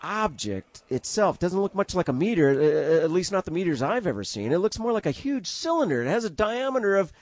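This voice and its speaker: A man playing an excitable, courteous 1930s radio news reporter with formal announcer diction that gets flustered. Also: sits high, brisk, hardly any pauses, dry recording. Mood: excited, flustered. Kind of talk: 1930s radio drama acting.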